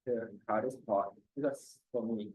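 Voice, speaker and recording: A man whose first language is Mandarin, speaking English on a student panel haltingly, with restarts and simple phrasing. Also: mid-range voice, darkish tone, slight background noise, low-quality recording. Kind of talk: casual panel discussion.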